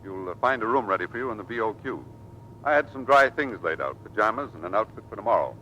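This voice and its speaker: An actor playing a stern but fair Navy squadron commander, clipped and authoritative, in old radio drama. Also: male voice, on the low side, expressive, fast, lo-fi sound, lightly acted. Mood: gruffly kind. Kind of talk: 1940s radio acting. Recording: faintly noisy vintage radio recording.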